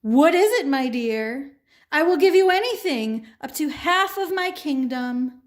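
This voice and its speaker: A woman's voice: high-pitched, lively intonation, measured pace, pitched above the performer's own voice. A woman voicing a doting, generous, easily led king in a children's puppet show, with an effusive manner.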